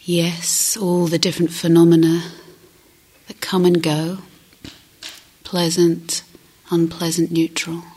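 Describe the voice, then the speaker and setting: A woman meditation teacher with a breathy, husky voice often near a whisper, gently guiding a meditation with long pauses. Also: fairly low pitch, completely flat delivery. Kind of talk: guided meditation.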